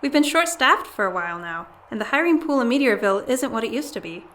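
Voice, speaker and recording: A woman's voice: a little high, animated, fast talker, a bit bright. A woman playing an exasperated, earnest alien twin who manages a diner, indignant and pleading, in an audio comedy. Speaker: voice actor. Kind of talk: audio comedy drama acting.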